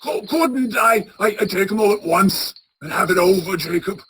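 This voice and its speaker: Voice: medium pitch, moderate pace, a bit bright. An actor playing a crotchety, frightened old male miser in a radio play, stammering and blustering as he speaks. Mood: anxious. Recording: clearly noisy track with ambience.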